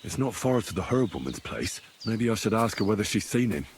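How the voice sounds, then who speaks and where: Young earthy village lad, medieval game hero, plain-spoken, questioning, animated delivery over faint ambient noise. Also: male voice, fairly low pitch, fairly quick. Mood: worried, searching. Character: young, scrappy, earthy blacksmith's lad and adventurer. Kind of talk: video game dialogue acting.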